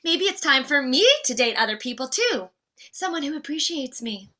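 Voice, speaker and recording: A woman plays a betrayed, fed-up wife in a comedy read. She is confrontational and biting, with a high, forceful voice. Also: animated, normal speed. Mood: hurt, fed up. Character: Mrs. Claus, scornful, furious, fed-up betrayed wife.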